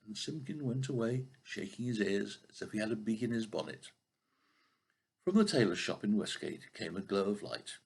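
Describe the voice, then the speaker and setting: An older man reading a children's story expressively, with a husky voice and the odd stumble over a word. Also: on the low side, expressive, normal speed, a little mellow. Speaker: storybook reader.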